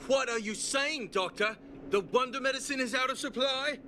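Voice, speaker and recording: A scornful, bitter patient. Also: male voice, very high voice, moderate pace, bright, forceful tone, slight background noise. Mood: resentful. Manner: scornful, sarcastic. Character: bitter resentful male patient, scornful and defiant. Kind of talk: game cutscene voice acting. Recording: game score music behind.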